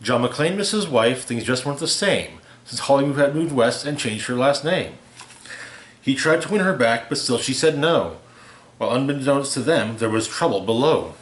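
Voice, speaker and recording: A man with a medium-pitched voice reads a rhyming holiday story aloud. His delivery is theatrical and deliberate, shaped around the verse. The recording is roomy and echoey, like a home reading. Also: expressive. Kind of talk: expressive read-aloud of a rhyming holiday parody.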